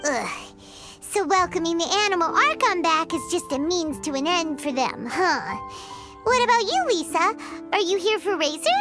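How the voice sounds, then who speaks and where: A tiny fairy companion from a video game, extremely high and squeaky. She is excitable and exclamatory, swinging up and down like a child, with faint music behind her. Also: moderate pace. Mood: puzzled. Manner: exclamatory, speaks of self in third person.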